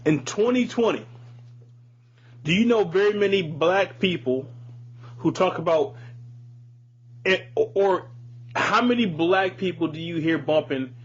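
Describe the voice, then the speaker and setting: A man's voice: sits high, a little slow, long pauses, husky. A man co-hosting a podcast, chatting casually with blunt, profane opinions. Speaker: podcast co-host. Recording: dull lo-fi audio with hum and noise.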